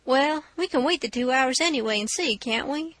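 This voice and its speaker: A woman voicing a calculating grown-man thief who talks quietly in folksy dialect. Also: high voice, moderate pace, no echo. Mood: scheming.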